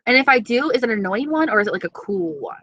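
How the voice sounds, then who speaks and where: A woman's voice: a little high, lively intonation, quick pace, no echo, muffled recording. A young woman with an East Coast accent chatting casually on a live stream, reading viewer comments and swearing freely. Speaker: beauty vlogger.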